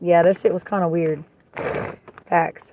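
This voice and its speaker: A woman with a slightly raspy voice hosting a livestream, chatting casually and reading viewer comments in a blunt, gossipy way that is full of swearing. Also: lowish voice, level tone, unhurried, mellow, slight background noise, low-quality recording. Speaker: livestream host.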